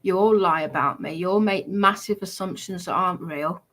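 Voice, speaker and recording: A woman's voice: on the low side, normal speed, a bit bright. A woman in casual, argumentative livestream chat, blunt, defensive and emphatic in the way she talks.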